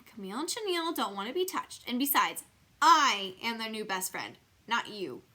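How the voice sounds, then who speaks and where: A woman's voice: on the high side, sing-song, normal speed, sharp tone. A woman voicing a prim, smugly dismissive first-grade girl in a children's storybook, with precious, self-satisfied phrasing. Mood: annoyed.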